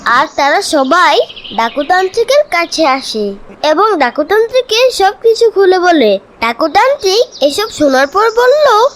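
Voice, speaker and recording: A woman's voice: very high voice, sing-song, a little slow, a bit bright. A woman narrating a children's cartoon story in a scripted storytelling style.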